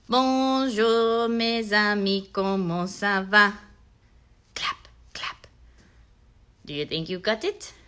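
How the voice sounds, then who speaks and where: A woman voicing a gentle puppet who patiently teaches a song in a slow, sing-song, way for children. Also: on the high side, clear, resonant tone, heavily acted. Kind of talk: children's puppet show performance.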